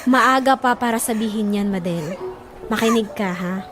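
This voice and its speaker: A woman's voice: medium pitch, normal speed, music in the background, lightly acted. A woman with a Filipino accent playing a caring, reassuring friend in an audio drama, soothing and earnest. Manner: soothing, earnest, gently insistent. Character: caring, reassuring female friend of a distressed mother.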